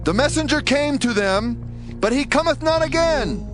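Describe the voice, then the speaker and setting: A man's voice: extremely high pitch, lively intonation, moderate pace. A man playing an alert watchman or herald in dramatized scripture, calling out reports in a declamatory voice. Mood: alert, urgent. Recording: background music under the voice.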